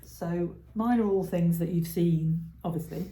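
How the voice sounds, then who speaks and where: A woman's voice: lowish voice, fairly even intonation, unhurried, mellow. A woman co-hosting a podcast in casual chat, talking in bursts with frequent giggles and restarts. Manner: chatty, giggly, trails off and restarts. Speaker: podcast co-host. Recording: roomy echo.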